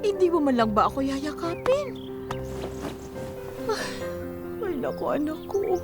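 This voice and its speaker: Loving, weary high-voiced Filipino mother. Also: sing-song, measured pace, over loud music, heavily acted. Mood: affectionate. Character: loving, weary middle-aged mother back from abroad. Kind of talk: Filipino radio drama acting.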